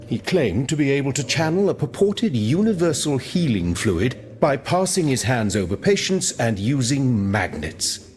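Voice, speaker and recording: An actor playing an earnest, thoughtful scientist of old France in a video game, speaking in a formal, reflective way. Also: male voice, medium pitch, sing-song, measured pace, a little mellow. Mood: explanatory. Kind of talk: video game cutscene dramatic acting.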